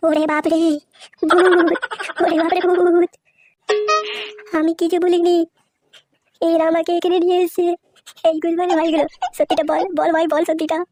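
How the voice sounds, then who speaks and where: A woman's voice: very high voice, little pitch movement, normal speed, long pauses, a little mellow. A woman voicing a cocky, foul-mouthed but easily spooked young man in a comedy cartoon, with an Indian Bengali accent. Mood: panicked. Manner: brash, slangy, swearing constantly. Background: music.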